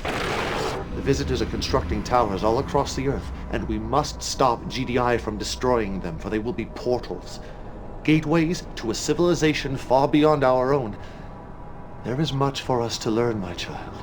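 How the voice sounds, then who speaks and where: An actor playing a grandiose, fatherly cult leader who speaks in solemn, deliberate prophecy in a video game cutscene. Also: medium pitch, background noise, natural voice. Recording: voice over loud background music.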